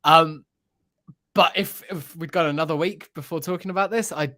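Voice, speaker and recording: A man's voice: fairly high pitch, steady tone, normal speed, pushed and bright. Enthusiastic, rambling man chatting casually. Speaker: podcast panelist. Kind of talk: casual chat about a radio drama.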